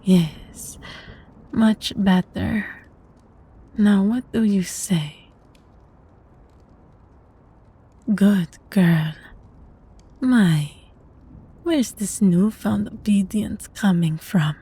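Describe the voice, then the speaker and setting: Woman acting a female vampire master, husky breathy voice, soft dark tone, silky menace, teasing, possessive, intimate roleplay drama. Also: mid-range voice, exaggerated voice. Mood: commanding, teasing. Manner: slow, teasing, condescending, often dropping to a breathy whisper.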